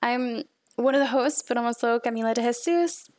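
A woman's voice: on the high side, brisk, a little mellow, slight background noise. A young woman from Texas hosting a podcast, chatting casually with an upbeat manner. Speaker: podcast host.

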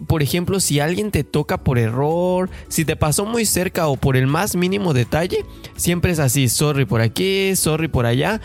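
A man co-hosting a podcast, chatting casually in a friendly, explanatory way. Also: on the high side. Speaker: podcast co-host.

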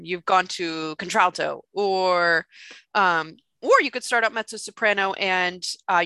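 Bright-voiced woman chatting about opera. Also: dry recording. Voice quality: deep for a woman. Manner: animated, self-mocking, many fillers. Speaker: podcast co-host. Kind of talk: casual chat about opera. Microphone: computer mic on video call.

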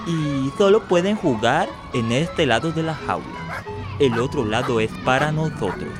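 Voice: mid-range voice, expressive, a little slow, close to an everyday voice. A performer playing a big, menacing eleven-year-old bully boy in a radio drama, commanding and intimidating. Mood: bossy. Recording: loud music bed behind.

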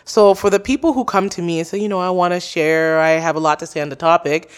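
A woman's voice: on the low side, fairly even intonation, fast talker, smooth. Young adult woman, guest on a podcast chat; casual, filler-heavy, open talk. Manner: chatty, many "like" and "you know" fillers. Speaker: podcast host, interview guest.

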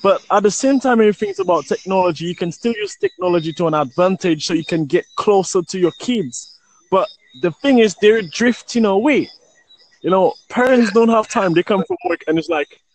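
A man from London in a casual chat, relaxed and rambling with plenty of likes. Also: high voice, rough recording. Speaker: chat participant. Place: Saint Lucia.

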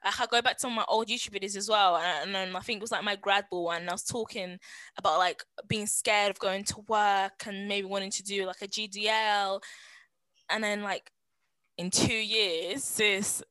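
A woman, co-hosting a podcast in casual, slangy chat full of animated storytelling and quoted asides. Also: mid-range voice, moderate pace, smooth, no room sound. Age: 20s. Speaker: podcast co-host.

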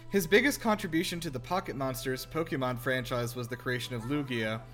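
A man's voice: medium pitch, moderate pace, a bit bright, faint music behind. A man hosting a casual film reaction chat with wry, teasing banter. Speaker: podcast host.

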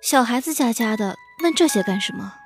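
Woman doing an immortal fox lady, cool n wistful, bit teasing, highish voice, music behind, audio drama. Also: lively intonation, brisk, close to an everyday voice. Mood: calm, evasive. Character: centuries-old fox spirit woman, aloof, wistful, secretly fond.

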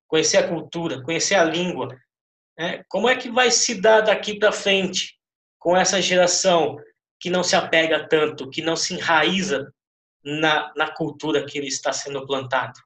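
Homem brasileiro de voz aguda, com eco. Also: male voice, fairly high pitch, moderate pace, fairly bright tone. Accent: Brazilian. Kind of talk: casual interview discussion. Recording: roomy, echoing sound.

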